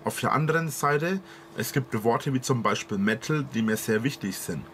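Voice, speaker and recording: Man, southern German dialect, earnest halting monologue, faint noise behind. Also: mid-range voice, expressive, fairly bright tone. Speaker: video creator explaining himself. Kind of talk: monologue to camera.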